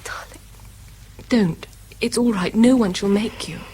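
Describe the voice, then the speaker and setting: A loving but frank woman played by an actress speaks at a medium pitch in an intimate radio drama. She is earnest and gentle, often hushed, over slight background ambience. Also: a little slow, slight background noise, close to an everyday voice. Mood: tender, pleading. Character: loving, frank, long-suffering girlfriend of troubled man.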